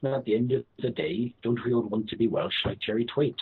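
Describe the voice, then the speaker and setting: A man with a raspy voice rambling through a silly comic monologue and playfully switching accents. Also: medium pitch, a little fast. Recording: tinny phone-like line.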